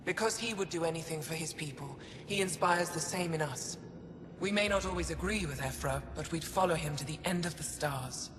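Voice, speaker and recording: An actor playing a weary, steadfast alien resistance commander in a video game, speaking in a measured, formal, earnest way. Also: male voice, on the high side, natural voice. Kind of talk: dramatic game dialogue. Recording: reverberant with background ambient noise.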